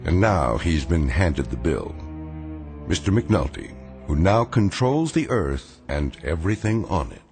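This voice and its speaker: A man acting as the grave, all-knowing narrator of an eerie radio drama, measured and portentous. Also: very deep voice, very animated, a little mellow, heavily acted. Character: grave omniscient male narrator of eerie tales. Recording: prominent music behind narration.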